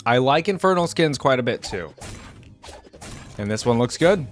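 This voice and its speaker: A young man giving casual, expressive reaction commentary as a video host, easily amused. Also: medium pitch, moderate pace. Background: game audio and music.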